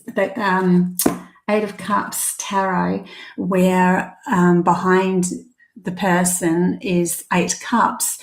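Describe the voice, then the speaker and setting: A woman's voice: on the low side, reverberant. A woman from New Zealand with a mixed New Zealand and Australian accent, giving a live tarot reading in a rambling, hesitant way with many ums. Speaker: tarot reader.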